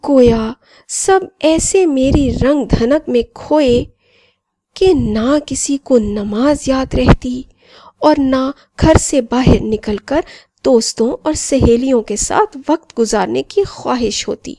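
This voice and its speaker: A woman voicing a friendly, boastful talking mobile phone that narrates and moralizes to children in an expressive storybook style. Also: high-pitched, no room sound. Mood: concerned recounting.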